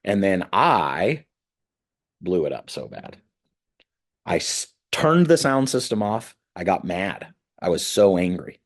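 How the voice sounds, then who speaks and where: A man with a deep, husky voice teaches a ministry class. He tells candid stories from his own life, and his voice jumps up high when he gets worked up. He sounds like a pastor talking straight with his students. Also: slow. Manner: candid, animated storytelling, voice jumps up when excited.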